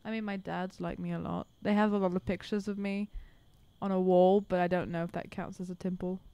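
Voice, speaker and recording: A woman's voice: on the low side, level tone, fairly quick, vocal fry, mellow, smooth, no room sound. A woman playing a tabletop game, chatting in a casual, playful, improvised way. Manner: playful, informal. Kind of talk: casual improvised role-play chat.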